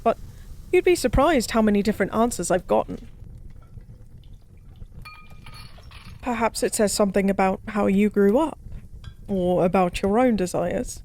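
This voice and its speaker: A woman playing a forgotten goddess as a tipsy pub stranger in an intimate audio roleplay, speaking softly in slow, wistful philosophical rambles. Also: mid-range voice, lively intonation, natural voice. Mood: curious, playful. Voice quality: soft. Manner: slow, musing, rambling, teasingly cryptic.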